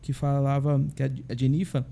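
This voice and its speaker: Mellow-voiced Brazilian male pastor. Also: mid-range voice, level tone, moderate pace, creaky. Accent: Brazilian Portuguese. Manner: chatty storyteller, frequent tag questions. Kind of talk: casual podcast conversation.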